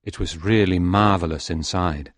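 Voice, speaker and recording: A man reading a story aloud in a slow, measured way, speaking as the wandering soul of a young fisherman. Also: deep voice, dry recording, studio quality, close to an everyday voice. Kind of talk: audiobook reading.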